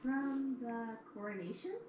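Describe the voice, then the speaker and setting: A woman's voice: on the high side, unhurried, natural voice. A woman voicing a young pony princess, an earnest, inquisitive and slightly awkward student in an audio drama. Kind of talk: fan audio drama acting. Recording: echoey, distant, faintly noisy playback.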